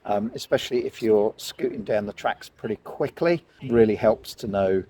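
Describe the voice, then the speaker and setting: A man's voice: lowish voice, moderate pace, a crowd in the background. A man giving a casual, explanatory product review, speaking informally and directly to viewers. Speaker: vlog host and product reviewer.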